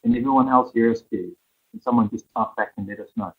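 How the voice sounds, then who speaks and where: A man hosting a live webinar, brisk and checking in with the audience. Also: mid-range voice, fairly even intonation, husky, phone-quality sound. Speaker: course host.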